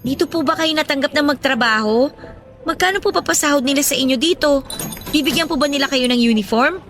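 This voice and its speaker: A woman's voice: high voice, brisk, a bit bright, soft background music. A woman playing a worried, polite young schoolgirl who keeps questioning her father in a radio drama. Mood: insistent, puzzled. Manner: respectful, insistent questioning. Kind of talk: radio drama acting in Tagalog.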